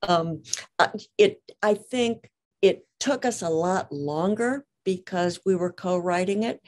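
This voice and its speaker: A woman's voice: on the low side, takes it slowly, a little mellow. A woman speaking as an interviewed author and lawyer in a conversational interview, careful and precise, often rephrasing her points.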